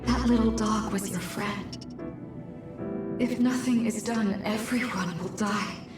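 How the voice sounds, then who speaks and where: Machine posing as mother: serene, coaxing, very slow, heavy echo, video game drama. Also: medium pitch, fairly even intonation, over music. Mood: solemn coaxing. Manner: slow, solemn, measured pleading. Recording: heavily echoing, distant-sounding game audio.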